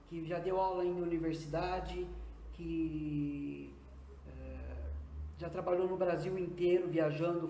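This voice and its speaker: A man in his fifties with a Brazilian accent, a nurse and acupuncturist answering interview questions earnestly, often pausing on hesitant fillers. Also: high-pitched, darkish tone, a little hiss or hum. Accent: Brazilian Portuguese. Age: 50s. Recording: roomy echo.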